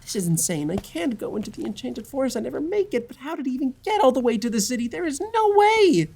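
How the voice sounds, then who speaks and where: A woman's voice: sits high, sing-song, fast, creaky, dark tone, slight background noise, full character voice. A woman playing a kind, wry young male familiarist who is easily flustered, talking casually and expressively in an audio drama. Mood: anxious.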